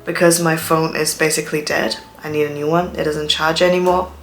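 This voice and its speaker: A woman's voice: low voice, little pitch movement, a little fast, faint music behind. A Chinese woman in her twenties, a student, telling stories in a chatty, dramatic way, speaking English with an accent between British and American. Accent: English learned from TV, between British and American. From China, Shanghai. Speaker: student vlogger. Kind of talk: casual monologue vlog.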